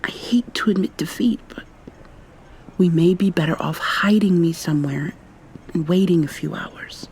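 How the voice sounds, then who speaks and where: A woman playing a wary human in hiding among vampires in an ASMR roleplay, speaking in an urgent, partly whispered hush over a noisy background. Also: lowish voice, normal speed, natural voice. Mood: tense, guarded. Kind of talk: ASMR roleplay, intimate hushed acting.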